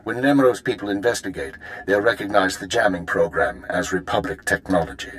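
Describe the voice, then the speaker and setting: A man voicing a calculating spymaster in a video game, briefing in a measured, formal, businesslike way. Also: sits low, animated, a bit bright, poor audio. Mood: cool, businesslike.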